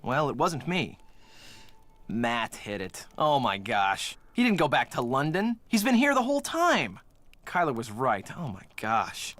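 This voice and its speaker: A man's voice: medium pitch, sing-song, a little slow, a bit bright, no echo. An actor playing a frustrated, lovelorn young man in a video game, earnest and defensive. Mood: defensive, surprised, then upset, disappointed.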